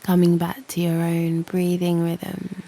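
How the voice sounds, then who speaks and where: A British woman guiding a meditation in a soothing, breathy near-whisper, leaving long pauses between short phrases. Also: lowish voice, monotone. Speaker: meditation guide and channel host. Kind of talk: slow guided yoga nidra meditation. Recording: faint background noise with birdsong. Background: birdsong and soft nature ambience.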